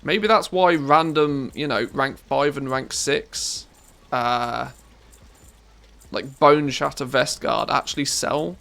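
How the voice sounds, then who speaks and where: A man's voice: mid-range voice, slow pace, creaky, fairly bright tone. Scratchy-voiced English man chatting on stream. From England. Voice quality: scratchy, harsh voice. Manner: rambling, self-correcting, musing to chat. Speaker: live streamer. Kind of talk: casual live-stream chat while playing. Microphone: good studio microphone.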